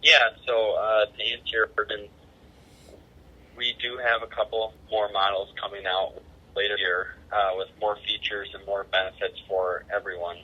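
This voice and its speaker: Husky man over a muffled two-way radio. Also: fairly low pitch, flat delivery, normal speed. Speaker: customer service representative. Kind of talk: unscripted customer support answers. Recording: muffled walkie-talkie transmission.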